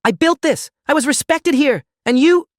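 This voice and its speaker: A man voicing a desperate, self-pitying gym bully who rants and accuses loudly in an audiobook story. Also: a little high, lively intonation, quick pace, no room sound, clean studio sound, voice pushed up, natural voice. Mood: screaming fury. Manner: ranting, accusatory, loud.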